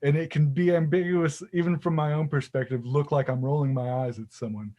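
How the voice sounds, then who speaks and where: A man chatting on a video call in a halting way, restarting his sentences, with a somewhat monotone delivery. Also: fairly high pitch, lo-fi sound. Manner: halting, many restarts, says himself he is monotone. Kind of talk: casual video call chat.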